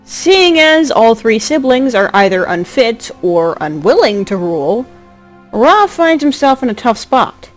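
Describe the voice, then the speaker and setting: A woman narrating a scripted drama as a storyteller, expressive, with a wry touch. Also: on the high side, a little slow. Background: music.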